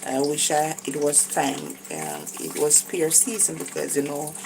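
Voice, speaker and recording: A woman's voice: low-pitched, big pitch swings, measured pace, gravelly. A tired Jamaican woman narrating a casual cooking vlog in a small kitchen, hesitant, with many fillers and restarts. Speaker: cooking vlogger. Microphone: phone held above her. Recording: phone audio with kitchen noise.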